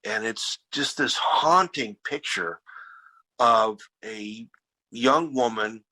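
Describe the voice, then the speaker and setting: A man's voice: medium pitch, slow, pushed and bright, dry recording, poor audio. A man who is losing his voice, telling stories in casual podcast chat with many restarts and repeats. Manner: rambling storyteller with many restarts. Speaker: podcast guest, band frontman.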